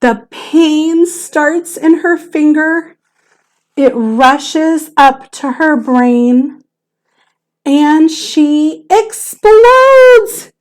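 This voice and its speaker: Lady teacher doing a kids book read aloud, high voice, big swoopy theatrical delivery. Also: very slow. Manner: theatrical, expressive, emphatic on key words. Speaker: teacher reading aloud to children.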